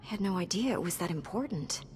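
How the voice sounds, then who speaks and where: A woman playing a calm, dutiful Jedi Knight heroine in a video game, with an earnest, composed delivery. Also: fairly low pitch, fast talker, a little hiss or hum, natural voice. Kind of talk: scripted video game dialogue.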